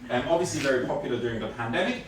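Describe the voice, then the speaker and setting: A man's voice: mid-range voice, racing, slight background noise. Swiss-German-accented man doing stand-up. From Switzerland. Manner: deadpan, wry, rhetorical "right?" tags. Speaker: stand-up comedian. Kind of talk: stand-up routine. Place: comedy club stage. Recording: echoey club from a distance, crowd laughter. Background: audience laughing.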